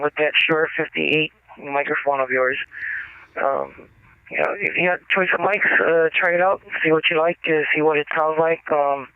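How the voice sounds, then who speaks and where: A radio operator giving an on-air signal report, a man talking casually in halting phrases full of ums and uhs. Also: on the high side, clear, resonant tone, no room sound, phone-quality sound. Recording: thin radio transmission.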